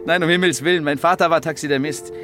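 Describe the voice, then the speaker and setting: A man's voice: on the high side, fairly even intonation, quick pace, music in the background, lightly acted. A man playing a wry, slightly awkward young journalist in a naturalistic radio drama, with a dry, ironic manner. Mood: awkward, eager.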